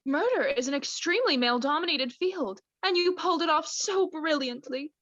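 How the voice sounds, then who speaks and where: Casting: young woman playing naive doting mom, high cooing voice, radio play, slightly dull recording. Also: expressive, normal speed, a bit bright, dry recording. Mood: proud. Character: sugary, doting, naive mother. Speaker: student actor in radio play.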